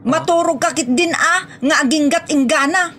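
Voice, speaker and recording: A bossy Ilocano wife in a comedy audio drama, acted by a woman, with a fairly high voice. She nags and questions in an exasperated, scolding way. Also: normal speed, a bit bright, a little hiss or hum. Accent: Ilocano Filipino.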